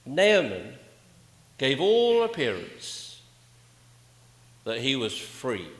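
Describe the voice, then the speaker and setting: An older man delivers a sermon at an unhurried, deliberate pace. His phrasing is rhetorical, with weighty pauses and repetition, and his mid-pitched voice rings through an echoey church with long reverb. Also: huge pitch swings. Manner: measured, rhetorical, with emphatic pauses and repeated phrases. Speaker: preacher. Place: indoors, small church at a pulpit.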